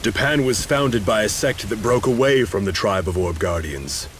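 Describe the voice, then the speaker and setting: A male actor as a loyal, reserved retainer in a fantasy game. His voice is low, terse and matter-of-fact, with quiet knowledge. A faint noise like rain sits behind it. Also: expressive, normal speed, lightly acted. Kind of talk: video game voice acting.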